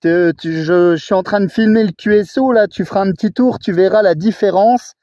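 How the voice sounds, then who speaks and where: A man's voice: high voice, fairly quick, mellow, no room sound, clean studio sound. A man talking casually as an amateur radio operator during a contact. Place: outdoors at a mountain spot. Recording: dull narrow amateur radio audio.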